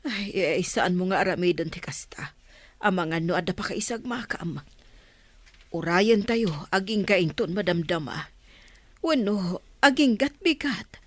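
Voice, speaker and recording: An actress playing an anxious, gentle village woman in an Ilocano radio drama, pleading in a worried, often hushed way with an Ilocano accent. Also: on the low side, expressive, measured pace, no echo.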